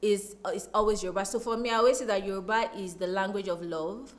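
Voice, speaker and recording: Nigerian woman, expressive conversational storyteller. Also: medium pitch, fast talker. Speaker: interview guest. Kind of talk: reflective conversational interview.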